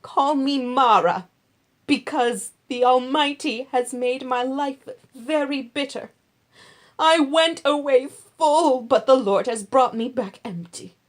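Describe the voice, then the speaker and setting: Lady doing grieving older widow in a bible story, bitter and pleading, slow, voice lifted high. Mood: bitter, anguished. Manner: impassioned, emphatic, drawn-out pleading.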